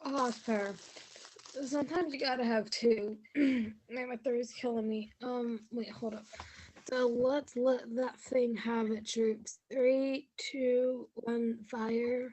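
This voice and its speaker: A teenage girl playing a distracted army commander in a school radio play, offhand and fussy, clearing her throat between orders. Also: on the high side, fairly even intonation, slow, a little mellow, slight background noise, low-quality recording. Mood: offhand commanding. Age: teens.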